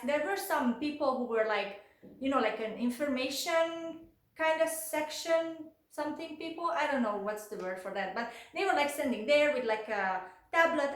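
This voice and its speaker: A young woman with a Hungarian accent chats her way through a storytime vlog, talking in English and leaning on filler words like "like" and "you know". Her voice sits a little high, and the room around her rings with a noticeable echo. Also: a bit bright. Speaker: vlogger.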